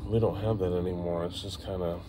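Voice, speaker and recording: Deep-voiced man chatting while driving. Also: steady tone, fairly quick. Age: 40s. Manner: chatty, self-deprecating, talks to viewers. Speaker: vlogger live streaming while driving. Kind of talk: casual rambling chat. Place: inside a car driving city streets at night. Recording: road and engine noise behind.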